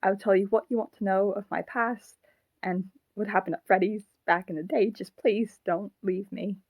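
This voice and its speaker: A woman voicing a sad, clinging haunted puppet with a young boy's ghost in it, in a halting, earnest fan audio drama performance. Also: medium pitch, fairly even intonation, darkish tone, no room sound, lightly acted. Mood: pleading, upset.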